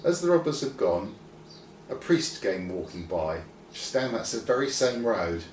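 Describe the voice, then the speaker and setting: Lowish, animated male storyteller in echoey room. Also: unhurried. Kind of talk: scripted children's story narration. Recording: roomy, distant mic, faint noise.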